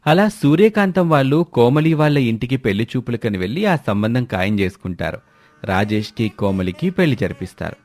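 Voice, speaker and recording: A man's voice: mid-range voice, sing-song, brisk, a little mellow. A man reading scripted narration for a family moral story.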